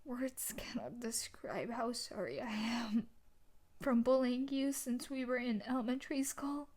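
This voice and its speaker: Woman acting intense, husky, breathy yandere bully. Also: fairly high pitch, unhurried, a little mellow. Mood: broken, confessing. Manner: intense, emotional, breathy delivery often sinking to whispers. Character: tough sadistic yandere bully wife, now desperate and remorseful. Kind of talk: dramatic audio roleplay monologue.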